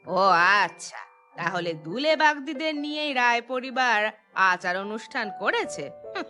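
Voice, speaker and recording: A woman's voice: on the high side, very animated, moderate pace, sharp tone, soft background music. A woman voicing a vindictive, commanding, wealthy village matriarch in a cartoon, with a scornful manner. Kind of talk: Bengali cartoon dialogue.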